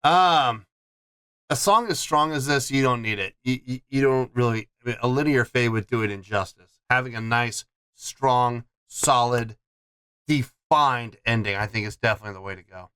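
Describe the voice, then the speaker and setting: A man's voice: mid-range voice, normal speed, pushed and bright, clean tone, dry recording. A man hosting a music reaction channel, giving a casual song review and often repeating himself for emphasis. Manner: repeats and restarts phrases for emphasis.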